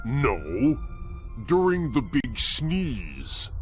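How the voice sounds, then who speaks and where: Giant sentient goo monster, childlike, woeful, drawn-out pacing, expressive pitch, music behind. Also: male voice, exaggerated voice. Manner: slow, earnest, dramatic pauses. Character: giant sentient booger villain, childlike, woeful, turning good. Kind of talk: cartoon game voice acting.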